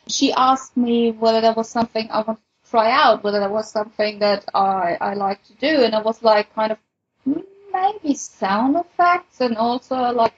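A woman's voice: a little high, slightly rough, lo-fi sound. A woman chatting casually and reflectively as a guest in a panel discussion. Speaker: podcast panelist, audiobook-style fan fiction reader.

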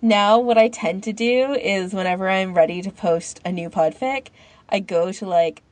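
A woman's voice: mid-range voice, fairly even intonation, moderate pace, darkish tone, dry recording. A woman co-hosting a podcast in casual chat, rambling informally as she thinks aloud. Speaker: podcast co-host.

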